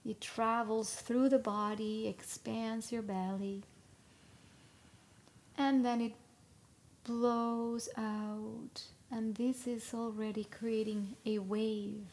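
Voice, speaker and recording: Very slow, higher-voiced woman guiding meditation. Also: darkish tone. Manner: soothing, instructional, with long pauses between short phrases. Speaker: guided meditation leader. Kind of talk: live guided meditation. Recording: live recording with clear background noise.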